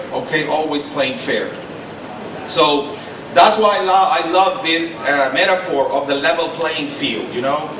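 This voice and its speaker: A middle-aged man from Honduras speaks at a panel in a slightly higher voice, talking earnestly, repeating himself and stopping to check that his listeners follow. He sounds far from the mic in a big echoing lecture hall. A steady noise and low rumble sit behind his voice. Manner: earnest, repeats and restarts, stresses points with "okay?". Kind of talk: panel talk with personal story. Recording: echoey hall, mic from a distance, noisy.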